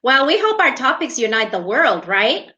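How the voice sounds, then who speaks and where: A woman's voice: sits high, very animated, normal speed, sharp tone, highs cut off. A South African woman whose first language is Sepedi, co-hosting a casual podcast in an upbeat, chatty way. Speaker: podcast co-host.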